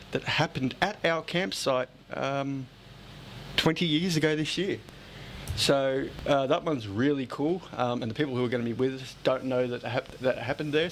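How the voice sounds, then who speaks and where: A man's voice: medium pitch, moderate pace, a little hiss or hum. A man with an Australian accent chatting casually as a podcast host, blunt and joking as he rambles along. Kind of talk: casual chat.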